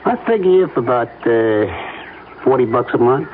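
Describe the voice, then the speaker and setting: An actor playing a slimy, falsely polite blackmailer with drawn-out hesitations in an old-time radio drama. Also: male voice, medium pitch, animated, close to an everyday voice. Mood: smug, reassuring. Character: slimy, creepy small-time male blackmailer, falsely apologetic. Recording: muffled vintage radio broadcast, faint noise.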